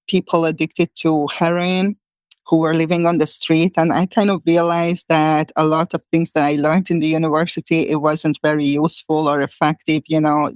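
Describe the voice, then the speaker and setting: Woman, Hungarian accent, 40s, flat even delivery, smooth, dry close sound. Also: low-pitched, dry recording. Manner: thoughtful, many fillers and restarts. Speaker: guest, shamanic healer. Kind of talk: conversational interview answers.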